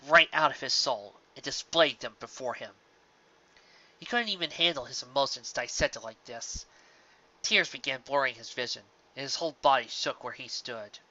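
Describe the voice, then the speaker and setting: Male storyteller, mid-pitched, sharp tense tone, emotive dramatic reading, lo-fi home sound. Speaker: narrator. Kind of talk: dramatic scripted fan-fiction narration. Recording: dull lo-fi home recording.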